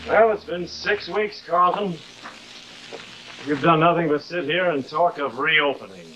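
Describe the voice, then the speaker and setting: An actor playing a concerned middle-aged manager, earnest and firm, in 1950s television drama style. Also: male voice, on the high side, lively intonation, measured pace, bright, forceful tone, muffled recording. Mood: exasperated. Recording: noisy old 1950s television soundtrack.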